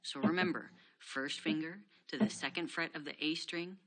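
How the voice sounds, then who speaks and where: A woman giving a scripted guitar lesson, explaining steps clearly and steadily. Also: low voice, fairly even intonation, normal speed, crowd noise. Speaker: guitar instructor.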